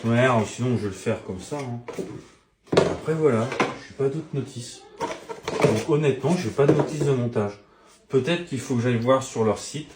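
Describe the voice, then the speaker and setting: A man's voice: medium pitch, normal speed, very noisy. A middle-aged man chatting casually as he unboxes gear, thinking aloud and swearing when annoyed. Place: room at home. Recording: roomy echo, faint music behind.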